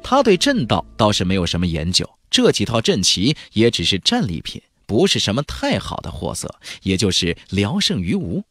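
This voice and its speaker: Husky, highly animated male audiobook narrator. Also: moderate pace, hardly any pauses, no room sound, faint music behind. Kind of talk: dramatic scripted narration of a fantasy novel.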